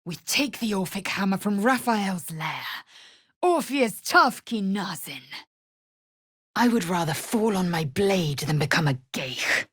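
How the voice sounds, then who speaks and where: An actress playing a fierce, proud young female alien warrior in a video game, with a husky, raspy voice and a clipped, haughty, formal manner. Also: mid-range voice, expressive, measured pace, fairly bright tone, no room sound, clean studio sound. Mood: resolute. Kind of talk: video game character voice acting.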